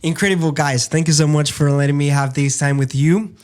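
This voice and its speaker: A man with a Dominican Spanish accent, hosting a casual interview in English, upbeat and halting with fillers. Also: fairly high pitch, fairly even intonation, darkish tone. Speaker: interview host.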